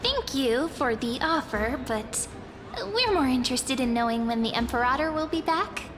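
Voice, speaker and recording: A woman's voice: sits high, lively intonation, normal speed, background noise. A woman voicing a perky, friendly, chatty young female adventurer in a video game. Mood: polite, concerned. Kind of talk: anime-style video game voice acting. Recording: long reverb, music behind.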